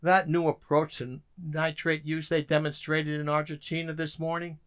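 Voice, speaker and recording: An actor playing a weary, dry-witted government codebreaker in an emphatic radio drama style. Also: male voice, a little high, monotone, normal speed, clean tone, lightly acted. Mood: wry, reflective.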